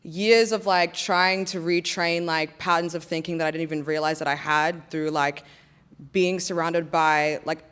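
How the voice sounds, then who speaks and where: Smooth-voiced young woman. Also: low voice, steady tone, moderate pace, a bit bright. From Australia. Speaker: panel guest. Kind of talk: casual discussion.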